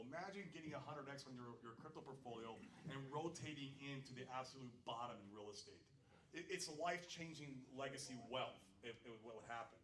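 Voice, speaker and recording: A man from Phoenix speaking on a seminar panel, animated and rambling, with frequent restarts. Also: medium pitch. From Phoenix, USA. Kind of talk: live seminar panel talk. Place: seminar room. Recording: echoey room, distant mic, faint noise.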